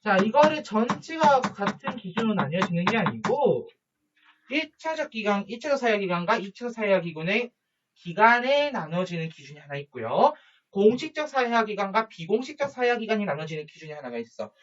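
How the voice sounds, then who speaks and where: A man's voice: high-pitched, big pitch swings, fairly bright tone. Guy teacher rambling thru a lesson, bouncy up and down voice, muffled lo-fi sound. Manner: chatty, jokey asides and quick quiz questions to students. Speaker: high school social studies teacher. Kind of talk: online lecture to students. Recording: dull lo-fi recording.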